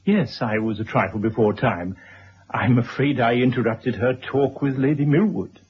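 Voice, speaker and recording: An actor playing a polite, formal biographer in a radio drama, speaking precisely in a husky voice. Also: male voice, mid-range voice, normal speed. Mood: measured, probing. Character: courteous, formal male biographer interviewing his subject. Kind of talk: 1970s radio drama acting. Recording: dull old radio broadcast recording.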